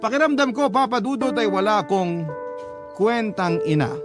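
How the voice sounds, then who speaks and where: A man's voice: high-pitched, animated, moderate pace. A man narrating an emotive first-person story as the narrator of a radio drama. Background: background music.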